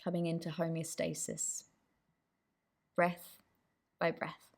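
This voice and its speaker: A woman leading a guided meditation as the instructor, with gentle, inviting instructions. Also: sits low, monotone, takes it slowly, gravelly.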